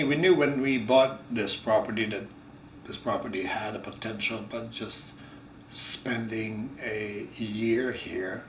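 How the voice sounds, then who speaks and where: A man in his forties from Croatia with a Croatian accent, co-hosting a casual farm chat, halting and repeating words as he talks. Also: on the low side. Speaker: farm vlog co-host. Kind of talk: casual conversation. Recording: echoey room, mic at a distance, noisy.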